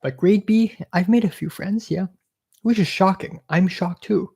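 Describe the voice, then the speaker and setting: A man's voice: sits high, a little slow, creaky, a little mellow, slightly rough. A young man from Hong Kong with an American accent, chatting casually on a livestream and answering viewer questions with blunt, self-mocking remarks.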